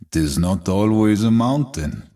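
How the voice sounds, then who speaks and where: An actor playing a stoic, battle-weary warrior in a video game, speaking gravely and deliberately in measured, declamatory lines with a gravelly, husky voice. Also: male voice, lowish voice, lively intonation, dark tone. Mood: brooding.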